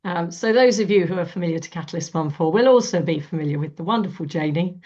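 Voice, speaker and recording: A woman with a croaky voice, hosting a coaching webinar in a warm, chatty way with many fillers. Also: lowish voice, quick pace. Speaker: webinar host. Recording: dull lo-fi webinar audio.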